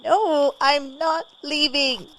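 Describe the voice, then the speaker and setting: A frightened wounded little girl, high and slow, pleading through tears in an audio drama. Faint hiss sits behind her. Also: steady tone, fairly bright tone, low-quality recording. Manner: pleading, tearful.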